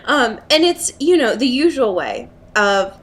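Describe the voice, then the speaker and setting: A woman's voice: fairly high pitch, big pitch swings, unhurried. A young woman co-hosting a podcast, joking and giggling through a casual, irreverent book discussion. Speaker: podcast co-host.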